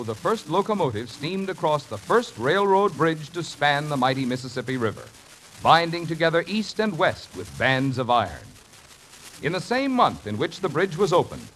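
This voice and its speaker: A male American announcer narrates in formal vintage radio style. His voice is fairly high for a man and moves expressively in stately, declamatory phrases. The old broadcast carries a faint layer of noise. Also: a bit bright, low-quality recording.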